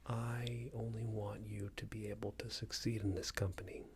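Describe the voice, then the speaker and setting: A man doing an ASMR roleplay as a passive-aggressive company boss, speaking in a soft, breathy whisper with hesitant, patronising phrasing. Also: fairly low pitch, flat delivery, moderate pace, a little hiss or hum. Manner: hesitant, repetitive, patronising workplace talk. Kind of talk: ASMR roleplay, improvised monologue.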